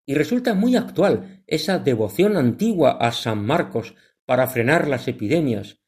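A man hosting a religious radio program, introducing segments in a measured, devout manner. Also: mid-range voice, darkish tone. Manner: measured, devout announcer delivery.

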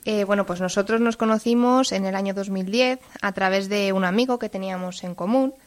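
Woman, late 20s, guest testimony, gentle halting delivery. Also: mid-range voice. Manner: gentle and halting, many fillers. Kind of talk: personal testimony.

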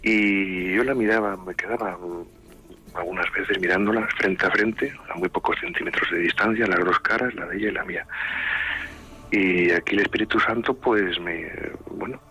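A man giving a religious testimony on a radio show, speaking in a slow, reflective and hesitant way. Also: low voice, steady tone, bright, forceful tone, breathy edge, faint music behind, low-quality recording. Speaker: caller.

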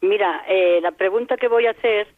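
A woman's voice: mid-range voice, normal speed, no echo. An older woman from Madrid with a Madrid accent, calling in to a radio show, chatty and rambling with hesitant restarts. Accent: Madrid Spanish. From Madrid, Spain. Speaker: caller. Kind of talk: phone call to a radio show. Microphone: telephone. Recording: tinny phone line, dry.

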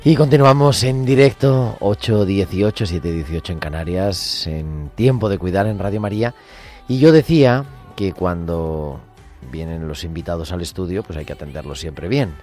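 A man's voice: deep voice, moderate pace, darkish tone, faint music behind. A man hosting a live radio interview in a studio, welcoming his guests in a jovial, joking way. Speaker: radio host.

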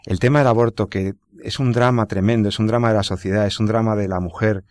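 A man hosting a radio program from a studio, formal and measured. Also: lowish voice, steady tone, darkish tone, dry recording. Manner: formal, measured presenter with some restarts. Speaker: radio host.

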